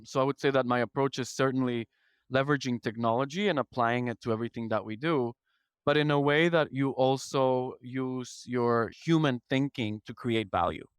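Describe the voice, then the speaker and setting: A man's voice: mid-range voice, moderate pace, clear, resonant tone, no echo, studio quality. A man in his thirties from Ecuador with an Ecuadorian accent, a podcast guest answering questions in a thoughtful, articulate way. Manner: thoughtful, articulate, lists ideas in sequence. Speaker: podcast guest, product executive. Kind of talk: conversational interview answers.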